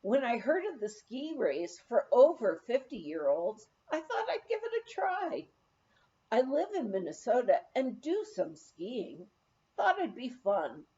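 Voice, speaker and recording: Female audiobook reader for a memoir: animated intonation, slow expressive delivery, slightly muffled sound. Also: a little high. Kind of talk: scripted narration of a humorous memoir, read aloud.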